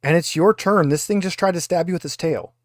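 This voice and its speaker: A man's voice: fairly high pitch, brisk, no room sound. Man running a tabletop game, dry close sound. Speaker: game master. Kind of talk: casual narration of a tabletop game session.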